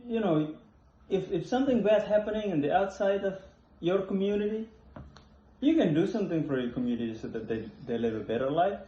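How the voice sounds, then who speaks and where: A young man from Indonesia hosts a casual chat, pausing and repeating words as he works out his points. His tone is mellow and slightly high. The room is echoey and the recording dull and low-fi. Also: animated. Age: young adult. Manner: halting, repeats words while searching for phrasing. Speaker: host. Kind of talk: casual conversation. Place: apartment room.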